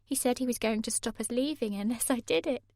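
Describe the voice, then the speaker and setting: A woman's voice: fast, mellow, no room sound, clean studio sound, natural voice. A woman doing a tearful, pleading young woman. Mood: desperate. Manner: high, tearful, desperate pleading.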